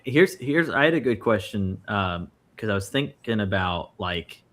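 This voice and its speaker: A man's voice: fairly low pitch, lively intonation, measured pace, fairly bright tone. A man in his twenties chatting casually as a participant on a streamed panel. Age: 20s. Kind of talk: casual chat.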